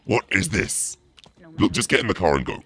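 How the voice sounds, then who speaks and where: A man's voice: very animated, moderate pace, a bit bright, faint music behind. A man streaming a horror game, swearing and mocking in theatrical live commentary. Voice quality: deep, gravelly, through a voice changer at times. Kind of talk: live gameplay commentary, trash talk.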